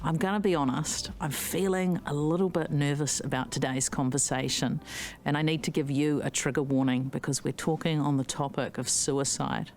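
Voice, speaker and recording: A woman hosting an interview, candid and gentle in her questions. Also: low voice, steady tone, normal speed, soft background music. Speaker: interview host.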